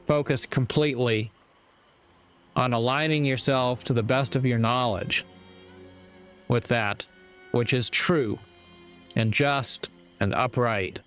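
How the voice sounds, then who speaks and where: A man delivering a reflective motivational monologue in a measured, earnest way, with deliberate pauses. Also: medium pitch. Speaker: speaker giving moral reflections.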